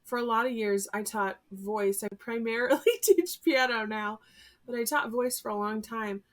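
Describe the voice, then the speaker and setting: A woman's voice: a little high, moderate pace. A woman who teaches music, hosting a podcast in a friendly, conversational way. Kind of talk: podcast interview.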